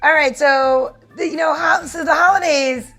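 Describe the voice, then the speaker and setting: A woman's voice: sits high, normal speed, a bit bright, faint music behind. A woman co-hosting a podcast, explaining a recipe step by step in an informal, instructive way. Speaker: podcast co-host and cocktail maker.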